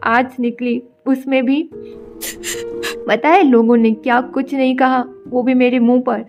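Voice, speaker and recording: An actress voicing a patient, hurt young wife in an animated story, talking emotionally and pleadingly. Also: female voice, high voice, a little slow, dull audio. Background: background music.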